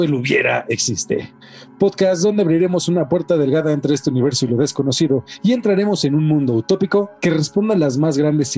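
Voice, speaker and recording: A Mexican man hosts a podcast in a fairly high but mellow voice. He tells stories casually and emphatically, repeating words for effect, with faint music behind him. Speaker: podcast host. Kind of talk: casual, animated storytelling.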